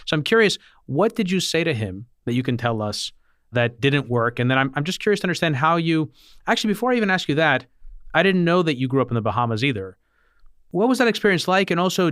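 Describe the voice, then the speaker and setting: A man's voice: a little high, fairly bright tone, no echo, clean studio sound. A man hosting an interview podcast, asking curious questions and thinking aloud as he stacks several of them together. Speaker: podcast host.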